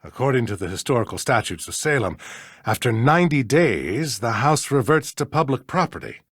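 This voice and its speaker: An actor playing a pompous, grumbling older small-town judge with an American accent in a video game, in a blustery, theatrical manner. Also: male voice, mid-range voice, big pitch swings, normal speed, dry recording, clean studio sound. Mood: solemn, explanatory. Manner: blustery, theatrical, throat-clearing, self-important.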